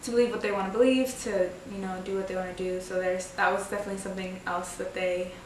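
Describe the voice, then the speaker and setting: A woman's voice: medium pitch, level tone, fast talker, slight background noise. A woman in her twenties from Egypt talking casually and informally in a documentary interview. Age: 20s. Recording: very echoey room, distant mic.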